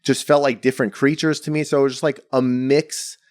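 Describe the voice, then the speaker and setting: A man's voice: mid-range voice, little pitch movement, a little fast, darkish tone, no echo, clean studio sound. A young man from the Bronx, a painter chatting casually in an interview and filling his talk with "like" and "you know". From the Bronx, New York. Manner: rambling, heavy on "like" and "you know". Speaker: painter guest.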